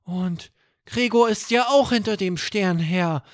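A man's voice: sits high, unhurried, dry recording, clean studio sound, voice pushed up. A man voicing a curious, friendly, easily amazed young boy who asks wondering questions in a children's bedtime story.

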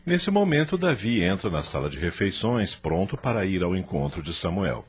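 Deep, raspy male radio drama narrator. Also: sing-song, normal speed. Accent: Brazilian.

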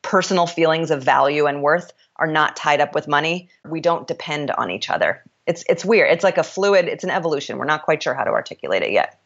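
A woman with a deep voice speaking as an interview guest, reflective and candid, sometimes halting as she works out her ideas. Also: animated, dull audio.

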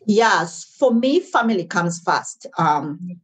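A woman's voice: on the low side, lively intonation, slow pace, breathy edge. A woman in her forties, born and raised in Uganda, talking earnestly as an interview guest about her businesses, often restarting her sentences. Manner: earnest, restarts and repeats words. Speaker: guest, entrepreneur. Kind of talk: casual interview conversation.

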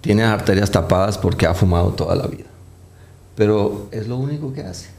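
Colombian guy, low gravelly voice, doctor chatting, lots of eh's and restarts. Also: a little fast, a little mellow. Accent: Colombian, softened by years in the Dominican Republic. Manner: hesitant, many fillers and restarts. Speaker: doctor, interview guest. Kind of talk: casual interview talk.

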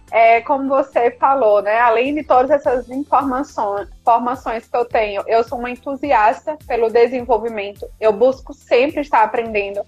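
A woman's voice: fairly high pitch, husky, dull audio. A woman from Recife with a mixed northeastern Brazilian accent, speaking as a podcast guest in an earnest, motivational way over a video call. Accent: mixed northeastern Brazilian Portuguese. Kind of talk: casual interview talk.

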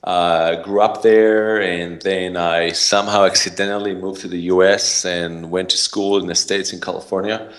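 A man's voice: deep voice, little pitch movement, normal speed. A man from Israel with an Israeli accent, a podcast guest telling his story in a rambling way, full of fillers and restarts. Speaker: podcast guest, startup founder. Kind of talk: casual interview talk.

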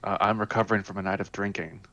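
A man's voice: lowish voice, fairly even intonation, quick pace, vocal fry, sharp tone, muffled recording. Dry, teasing young man. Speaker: podcast co-host. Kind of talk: casual gaming podcast chat.

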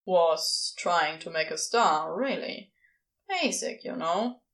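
A sardonic celestial fellow, acted out by a woman, dropped lower in pitch and unhurried. He talks in offhand, clipped phrases with a rough-mannered, casual swagger, as a character in an audiobook. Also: lively intonation, a bit bright, full character voice. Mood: exasperated, wry. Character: sardonic, foul-mouthed, casual male celestial being. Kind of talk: fan fiction audiobook character voice.

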